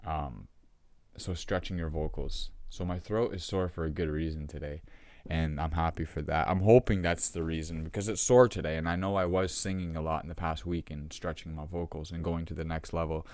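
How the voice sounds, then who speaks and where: A very deep-voiced man talks loosely to his camera at home, his throat sore and rough. He rambles and restarts, with plenty of ums, and the sound is close and dry. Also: fairly even intonation, fairly quick, darkish tone, no room sound. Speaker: vlogger. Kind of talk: casual talk to camera.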